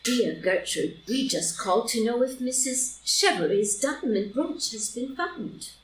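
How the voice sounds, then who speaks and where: An opinionated older society lady, played by a woman, genteel and chatty, with a fairly high, very varied voice. She hands out mild scoldings in a play reading. The recording is roomy and distant. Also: animated, a little slow, slight background noise. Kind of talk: group play reading for audio drama. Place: live in-person group reading in a room.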